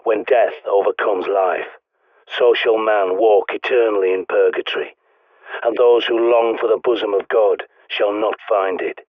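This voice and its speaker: A gruff British survivalist man from a video game, talks over a thin, phone-like radio with no room around him. His voice sits fairly low, and he is blunt, paranoid and used to giving orders. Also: no room sound. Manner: blunt, sweary, commanding. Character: gruff, bossy, paranoid male doomsday survivalist guiding a survivor. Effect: radio transmission filter. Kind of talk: video game character dialogue. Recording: narrow, phone-like radio sound.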